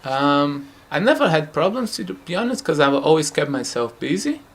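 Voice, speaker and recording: A young man from Brazil with a Brazilian Portuguese accent, answering interview questions at home in a relaxed, hesitant way with many fillers. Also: on the high side, moderate pace. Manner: relaxed, hesitant, frequent fillers and light laughs. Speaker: futsal player. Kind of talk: casual interview.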